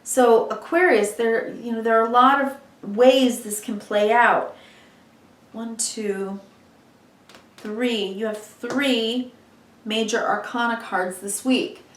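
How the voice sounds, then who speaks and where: A woman giving a weekly tarot reading in a chatty, encouraging way, full of "you know"s, her voice a little hoarse from a long day of talking. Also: a little high, steady tone, slow pace. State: losing her voice, slightly hoarse. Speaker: tarot reader. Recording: echoey room, mic at a distance.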